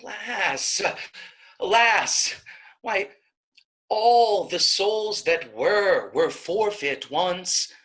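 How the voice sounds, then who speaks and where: An actress playing a pleading, righteous young maiden in a staged reading of Shakespeare, with impassioned, rhetorical verse delivery. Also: female voice, medium pitch, lively intonation, drawn-out words, fairly bright tone.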